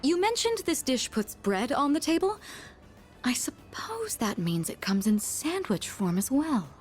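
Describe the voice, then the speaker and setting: A woman's voice: high-pitched, sing-song, a little slow, faint music behind. An actress playing a well-bred, earnest young woman in a video game, speaking formally and politely with naive curiosity and the odd French phrase. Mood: delighted, polite.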